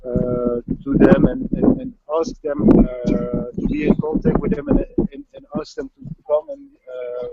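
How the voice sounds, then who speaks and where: A man from the Netherlands with a Dutch accent, answering interview questions in a halting way, often repeating words while searching for them. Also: a little high, creaky, warm tone, slight background noise. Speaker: local resident. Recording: dull lo-fi audio.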